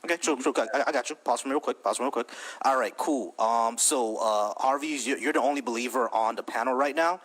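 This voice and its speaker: A man's voice: mid-range voice, fast, few pauses, a bit bright. Laid-back male debate host. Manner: laid-back, slangy, easygoing. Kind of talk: live debate stream hosting.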